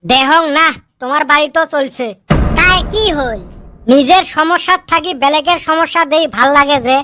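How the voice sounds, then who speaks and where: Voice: high-pitched, moderate pace, over music, natural voice. A performer voicing a mischievous, bickering village boy in a comedy cartoon, speaking in the Rajbongshi dialect of northern Bengal. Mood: bantering. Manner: colloquial, bantering, complaining.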